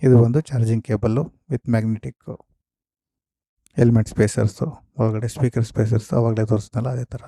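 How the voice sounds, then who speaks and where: A man presents gear in a casual, explanatory way. His voice is mid-pitched, deeply mellow and gravelly at the edges. He goes through things step by step, mixing in English terms. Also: flat delivery, moderate pace, no room sound. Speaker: host of a gear unboxing and review video. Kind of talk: casual product walkthrough.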